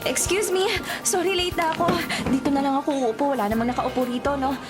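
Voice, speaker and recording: An actress playing an excitable, lovestruck young college student in a radio drama, gushing theatrically in mixed Tagalog and English. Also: female voice, high-pitched, fast. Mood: flustered. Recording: music playing behind the voice.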